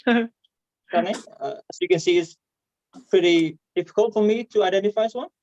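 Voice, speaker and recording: A man from Vietnam with a Vietnamese accent and a stutter, performing stand-up comedy haltingly over a video call. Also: high-pitched, a little mellow, no room sound. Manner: hesitant, halting delivery with repeated words. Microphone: video call microphone. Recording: dull, muffled online call audio.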